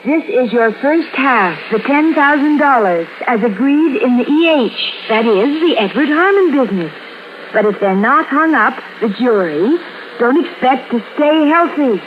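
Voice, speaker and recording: An insistent, anxious wife in a 1950s radio thriller. Her voice is high and very animated, urgent and earnest. It comes through an old, narrow-band radio recording. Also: measured pace, a little hiss or hum. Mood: urgent, reasoning. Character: anxious, insistent, upright young-sounding wife. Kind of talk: 1950s radio drama acting.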